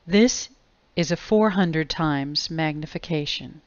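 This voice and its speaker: A woman delivering scripted explanatory narration in a grave, deliberate manner. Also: low-pitched, no room sound. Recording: dull lo-fi close dry voice-over.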